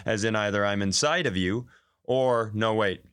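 A man's voice: on the low side, moderate pace, fairly bright tone, smooth. American man, rambling comic podcast co-host. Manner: joking, playfully grumbling, rambling. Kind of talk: casual comedic banter.

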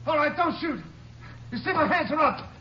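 An actor playing a cornered, desperate outlaw killer, shouting frantically in 1950s radio western style. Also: male voice, extremely high pitch, expressive, moderate pace. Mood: desperate bargaining. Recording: muffled, noisy old radio recording.

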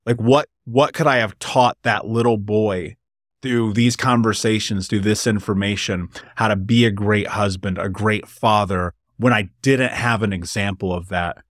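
A man's voice: lowish voice, steady tone, unhurried, no echo, studio quality. A man hosting a podcast interview, earnest and motivational, speaking directly to his listeners. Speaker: podcast host. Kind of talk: conversational interview.

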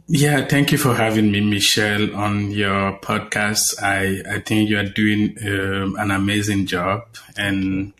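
A man's voice: on the low side, level tone, unhurried, few pauses, a bit bright, a little hiss or hum. A man from Cameroon, a guest journalist, talking in an interview with many fillers and restarts. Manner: hesitant, many fillers and repeated words. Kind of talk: conversational interview.

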